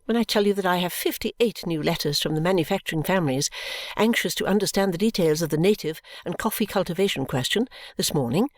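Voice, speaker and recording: A serene, self-absorbed middle-aged mother brushes off her daughter in a clear, complacent voice, as a woman reading a novel puts her on. She speaks low and unhurried, and the recording is dry and close. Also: dry recording. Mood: weary brisk. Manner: calm, clear, complacent and coolly dismissive.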